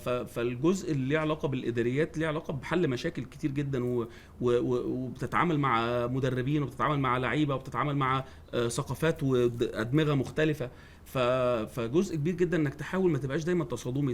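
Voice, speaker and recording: A man's voice: medium pitch, fast talker. A man in his forties with an Egyptian accent, a guest in a casual chat, who agrees often and repeats words as he restarts. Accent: Egyptian Arabic. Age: 40s. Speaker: guest, football coach.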